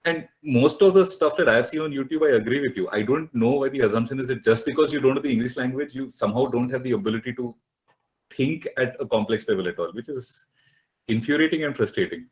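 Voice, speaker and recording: A man's voice: mid-range voice, fast, low-quality recording. A man hosting a podcast, talking casually, frank and agreeable. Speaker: podcast host, professor. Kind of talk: casual conversation.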